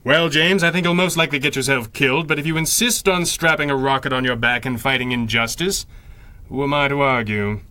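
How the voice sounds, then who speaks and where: A suave masked crimefighter played by a man in an old-time radio serial. His voice is crisp-edged and forceful, recorded close and dry, with precise, condescending phrasing and cool superiority. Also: mid-range voice, brisk, dry recording, lightly acted. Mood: stern, superior. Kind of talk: 1930s-style radio serial acting.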